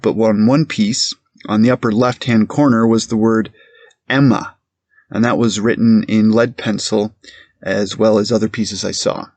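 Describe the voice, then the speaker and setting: A man's voice: on the low side, a little mellow, dry recording, lightly acted. A man playing a precise, deferential police captain giving hesitant, formal testimony in a courtroom reenactment. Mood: measured, factual. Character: careful, plain-spoken male police captain testifying as a witness. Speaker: witness.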